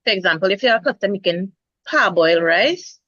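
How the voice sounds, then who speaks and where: A woman's voice: mid-range voice, animated, quick pace, fairly bright tone, dry recording. Woman, nurse, earnest, restarts words, dry close sound, muffled lo-fi. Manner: earnest, repeats herself while finding words. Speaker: nurse, guest. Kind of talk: casual health talk.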